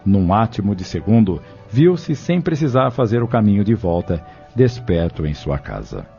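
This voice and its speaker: Mellow, low-voiced Brazilian male narrator. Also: very animated, normal speed, vocal fry. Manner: formal, literary storytelling. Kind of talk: scripted dramatic narration of an audio novel. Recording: dull lo-fi audio with music behind.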